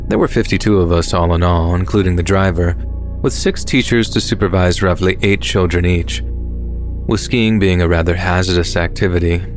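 A man reading a scripted true horror story as its narrator, over background music. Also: low voice. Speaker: narrator of listener-submitted true stories. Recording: music bed under the voice.

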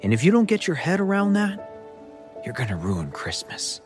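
A man's voice: a little high, wildly swooping pitch, normal speed, music in the background, voice pushed up. A man voicing a stern, protective father in a story, speaking in a firm, intimidating way. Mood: menacing. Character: stern, threatening father, protective brother-in-law.

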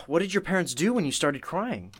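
An actress playing a curious, chatty interviewer in a radio play, with a casual, reactive way of asking questions. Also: female voice, deep voice, big pitch swings, normal speed, breathy edge, dry recording, heavily acted.

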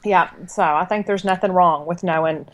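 A woman in her forties co-hosting a podcast, chatting in a relaxed storytelling manner. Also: on the low side, moderate pace. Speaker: podcast co-host. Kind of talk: casual chat.

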